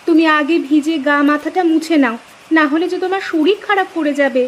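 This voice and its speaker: A woman voicing a village housewife in a cartoon, caring yet sharp-tongued, with expressive, theatrical delivery. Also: high voice, brisk, highs cut off, slight background noise, lightly acted. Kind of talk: Bengali cartoon dubbing.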